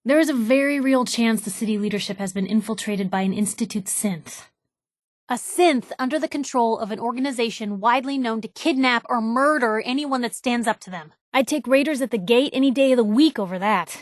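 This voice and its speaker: Game companion, woman playing a nosy, wry young American newspaperwoman; slightly high voice, snappy informal delivery, very dry recording. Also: fairly quick, no echo, lightly acted. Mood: stern, disappointed. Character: feisty, nosy young woman newspaper reporter, loyal and blunt. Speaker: video game companion character. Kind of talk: acted game dialogue.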